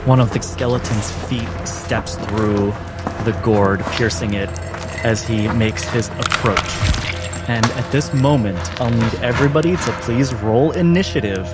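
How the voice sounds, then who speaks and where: A man working as game master, narrating dark fantasy in measured, suspenseful phrases with dramatic pauses. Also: mid-range voice. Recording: music under narration.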